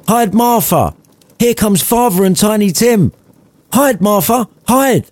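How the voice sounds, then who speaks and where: Man putting on shrill, excited Victorian children. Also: slow pace, no room sound. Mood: urgent, excited. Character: excited young Victorian children, boy and girl.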